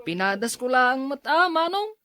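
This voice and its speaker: A woman's voice: sits high, very animated, normal speed, a bit bright, dry recording. A woman playing a cocky, mischievous young shepherd boy in a Filipino audio drama. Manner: playful, boastful.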